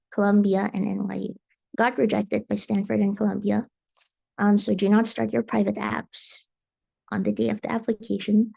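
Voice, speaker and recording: A woman's voice: medium pitch, creaky, dark tone, rough recording. A young woman from Indonesia speaking on a student transfer panel, giving detailed advice with frequent ums. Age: young adult. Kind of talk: panel answer, casual.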